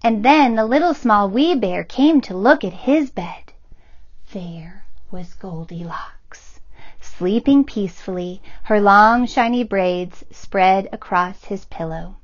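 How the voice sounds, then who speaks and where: A woman, a children's librarian, reading a picture book aloud in a gentle, expressive storytelling manner. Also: mid-range voice, takes it slowly, lo-fi sound.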